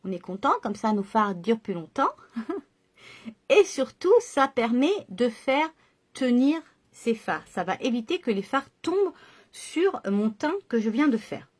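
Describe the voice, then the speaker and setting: A woman's voice: a little high, very animated, measured pace. A woman chatting through a makeup tutorial and explaining each step to her viewers. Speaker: makeup vlogger.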